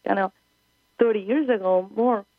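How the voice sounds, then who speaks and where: A woman's voice: medium pitch, normal speed, no room sound. Argentine-accented woman on a phone line. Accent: Argentine Spanish. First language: Spanish. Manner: earnest, searching for English words. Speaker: guest, spiritual travel leader. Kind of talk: phone-in interview.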